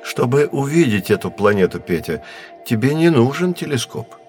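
A man playing a patient, learned astronomer who guides children in an educational audio drama, measured and didactic. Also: mid-range voice, sing-song, darkish tone, faint music behind, lightly acted. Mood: gently teasing. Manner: measured, didactic, clearly enunciated.

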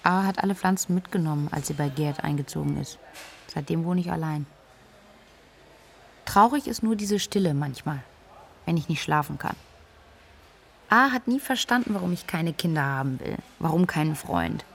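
A woman's voice: deep voice, lively intonation, moderate pace, creaky, pauses often, a little hiss or hum. A woman playing a candid, independent young woman in an interview-like German radio drama monologue. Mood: wistful. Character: independent single young woman, candid about missing flatmate.

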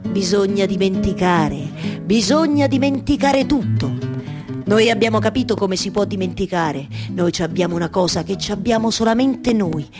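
Una donna che interpreta un re solenne e suadente con accento romano, enfatico e ripetitivo. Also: female voice, medium pitch, expressive, moderate pace, music in the background, lightly acted. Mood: persuasive, solemn. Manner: emphatic, incantatory repetition. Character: solemn, seductive male king urging a guest to forget.

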